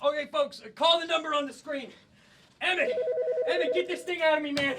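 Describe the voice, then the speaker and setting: A man's voice: extremely high pitch, fairly even intonation, brisk, heavy background noise. A man playing a cheap vampire TV salesman in a parody infomercial, brash, folksy and easily exasperated. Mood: pained, panicked.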